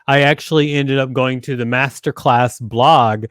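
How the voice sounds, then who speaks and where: A man's voice: medium pitch, steady tone, measured pace, fairly bright tone, clear, resonant tone. A man in middle age hosting a podcast, explaining things conversationally and rephrasing his points as he goes. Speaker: podcast host. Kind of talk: conversational instructional podcast talk. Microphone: close studio microphone with foam windscreen, headset on.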